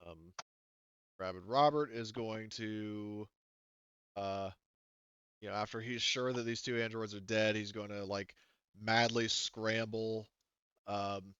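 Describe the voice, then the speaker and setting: A man's voice: lowish voice, fairly even intonation, takes it slowly, pauses often, fairly bright tone, smooth, dry recording, lo-fi sound. A man casually improvising narration as game master of a tabletop game, rambling and joking as he goes.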